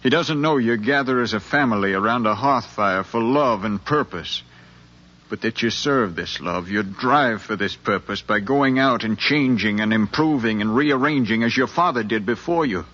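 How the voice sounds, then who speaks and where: An actor playing an earnest, insistent middle-aged son pleading with his mother, in a deliberate, impassioned American radio drama style from the 1950s. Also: fairly low pitch, sharp tone. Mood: persuasive, grave. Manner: impassioned, deliberate, speechlike with repeated phrases. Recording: dull 1950s radio recording, music.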